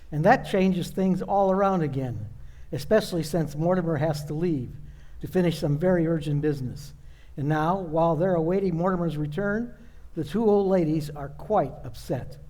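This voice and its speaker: High-pitched male radio play narrator. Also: moderate pace, a little mellow. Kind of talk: old-time radio scripted narration.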